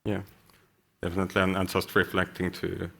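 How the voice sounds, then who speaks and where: Low flat voiced guy moderating a panel, quiet, says yeah a lot. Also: brisk, gravelly. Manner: soft-spoken, many backchannel yeahs.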